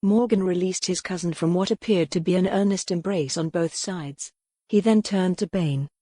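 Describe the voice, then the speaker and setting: A woman narrating a novel in a measured, even storytelling manner on a close mic. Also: fairly low pitch, dry recording. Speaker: audiobook narrator. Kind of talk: scripted narration.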